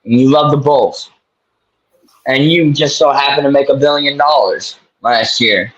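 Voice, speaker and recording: A man co-hosting a casual sports talk show, chatting in an animated, joking way. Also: medium pitch, a little slow, muffled recording. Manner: animated, slips into a mock New York accent. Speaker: co-host.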